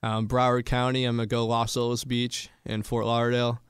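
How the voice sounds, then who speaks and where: Young man, college athlete guest. Flat intonation, smooth voice, casual answers. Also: medium pitch, fairly quick, dry recording, studio quality. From Florida, United States. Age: young adult. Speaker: college football player guest. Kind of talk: casual sports interview answers.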